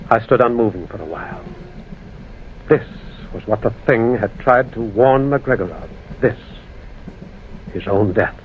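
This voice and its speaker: Actor as haunted storyteller on a tinny old radio. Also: male voice, on the low side, close to an everyday voice. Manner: theatrical, declamatory. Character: haunted man recounting a ghostly railway tragedy, anguished. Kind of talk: old-time radio horror narration. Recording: tinny, narrow old radio recording, faint noise.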